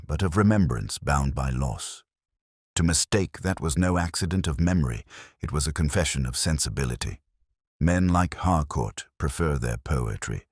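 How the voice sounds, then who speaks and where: A brooding police inspector played by a man narrates his case in a very deep, close and dry voice. His delivery is measured and reflective, with literary phrasing and lively rises and falls. He sounds world-weary, like the narrator of a detective radio drama. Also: breathy edge, no room sound, exaggerated voice. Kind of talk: scripted first-person detective story narration.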